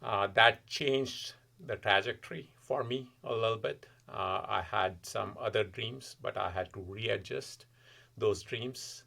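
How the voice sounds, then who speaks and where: A man in his forties from India, with an Indian accent, a podcast guest recounting his family's story in a measured, reflective way. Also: fairly low pitch, creaky, pushed and bright. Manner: measured, reflective, frequent uh fillers. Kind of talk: personal storytelling in interview.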